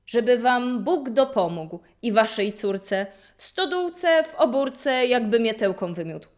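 A playful village lad in carnival costume, acted by a woman, reciting a folk rhyme in a radio play. The voice is high and swoops in a lively, rhythmic chant. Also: slow pace.

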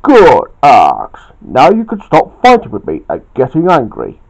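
A man's voice: mid-range voice, wildly swooping pitch, unhurried, creaky, darkish tone, heavily acted, rough recording. A man voicing an indignant, bossy male friend in an amateur storybook telling. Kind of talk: amateur storytelling with acted dialogue.

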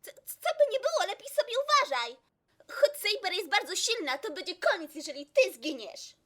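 Anime teen rival female actor: piercingly high, animated, haughty, flustered. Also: normal speed, full character voice. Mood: stern, flustered. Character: proud, easily flustered teenage girl rival. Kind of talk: anime fan dub acting.

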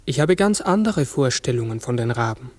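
An actor playing a large talking raven in a 1960s radio drama, brooding, uncertain and often correcting himself. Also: male voice, medium pitch, lively intonation, no echo, natural voice. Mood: pensive, uncertain. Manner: halting, brooding, self-correcting. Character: human-sized talking raven, pensive, uncertain, forgetful.

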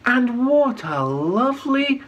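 A man voicing a gentle, polite and cheery Viking boy in a children's storybook reading. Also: extremely high pitch, very animated, takes it slowly, voice pushed up, heavily acted. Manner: courteous, cheerful. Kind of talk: children's storybook read-aloud.

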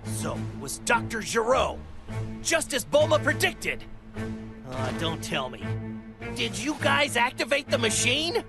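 A woman's voice: a little high, sing-song, a little slow. A woman voicing an earnest, scrappy male martial-arts hero in an anime game, scratchy, boyish and urgent. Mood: puzzled, earnest. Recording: music behind the voice.

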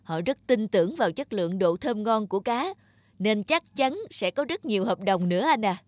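A woman's voice: medium pitch, animated, normal speed, a little mellow, no echo, studio quality, lightly acted. A woman voicing a respectful, eager young male farm worker in a cartoon. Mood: respectful reporting. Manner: deferential. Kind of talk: cartoon dub acting.

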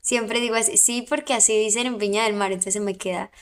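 A woman's voice: fairly high pitch, fairly even intonation, brisk. A young Colombian woman with a mixed coastal and Santander accent, chatting playfully with viewers on a phone livestream. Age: young adult.

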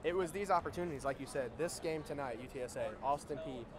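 A young man co-hosting a postgame sports recap, outdoors in a big stadium at night on a lapel mic, briskly leading the talk and asking questions. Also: fairly high pitch. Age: young adult. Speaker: co-host and sports editor. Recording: stadium crowd noise behind.

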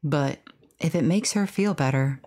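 A woman voicing a reluctant, skeptical high school boy in a horror video game. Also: measured pace, mellow, a little hiss or hum. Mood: doubtful, subdued.

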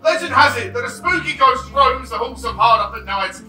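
Echoing theatre sound, picked up from a distance: a brash ugly sister in pantomime, very high and swooping. The delivery is exclamatory and theatrical, aimed out at the crowd. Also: male voice, animated, a little fast, pushed and bright, full character voice. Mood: spooky gossip. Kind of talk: broad stage pantomime acting. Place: theatre stage before a live audience. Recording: echoey theatre stage, distant microphone, music, audience.

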